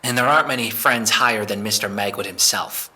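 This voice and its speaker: A man's voice: on the low side, brisk, pushed and bright. A man playing a desperate, defensive young man under questioning in an audio drama, stammering and exasperated as he speaks. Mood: desperate, weary.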